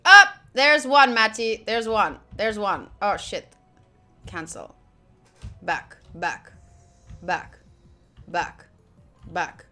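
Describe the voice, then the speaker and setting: Woman, bright forceful tone, expressive, casual game playthrough narration, swears freely, soft background music. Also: mid-range voice, very slow, long pauses. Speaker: game streamer. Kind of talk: visual novel playthrough, reading text aloud with casual reactions.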